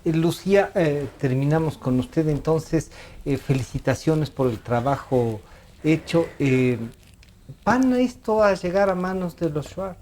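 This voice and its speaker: A man's voice: fairly high pitch, expressive, measured pace, darkish tone, slight background noise. A man hosting a radio interview, asking questions and acknowledging guests often with short replies. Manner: frequent short acknowledgements, friendly closing.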